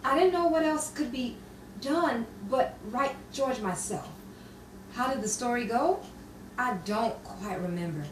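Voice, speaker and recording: A caring confidante in a vintage-style radio play. She speaks slowly and theatrically, with a fairly high, lively voice in a roomy, noisy recording. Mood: rueful, reflective. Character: wry, caring female confidante, regretful letter writer. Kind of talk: nostalgic radio drama acting and narration. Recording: roomy, distant, noisy live stage recording.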